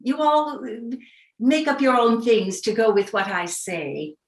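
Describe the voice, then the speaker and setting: A woman's voice: a little high, measured pace. A woman playing a bossy, determined older woman in a staged reading of a play. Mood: bossy, coaxing. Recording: roomy, echoey sound.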